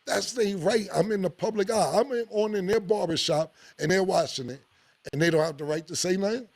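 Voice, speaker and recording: A man, hosting a podcast with blunt, animated opinions and a lot of swearing. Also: a little fast, creaky, no echo. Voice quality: deep voice. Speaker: podcast host. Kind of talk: casual opinionated podcast talk.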